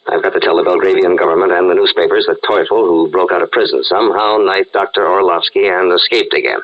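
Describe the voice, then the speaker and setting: A man's voice: deep voice, fairly even intonation, fast. A man playing an exasperated, skeptical police inspector in a radio serial, blunt and colloquial. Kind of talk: old radio serial acting. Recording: dull, band-limited radio-style recording.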